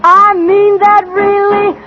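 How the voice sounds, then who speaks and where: A woman's voice: very high-pitched, level tone, slow pace, loud music behind the voice. A woman singing 1940s swing as a guest singer on a radio show. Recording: muffled 1940s radio broadcast. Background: loud swing band with brass.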